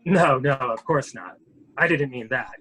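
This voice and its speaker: Man playing a husky, genteel blustering major. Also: mid-range voice, a little slow, low-quality recording. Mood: evasive, blustering. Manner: formal, hedging, old-fashioned gentlemanly phrasing. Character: blustering, genteel military major running for Congress. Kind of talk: amateur dramatic reading of a murder mystery.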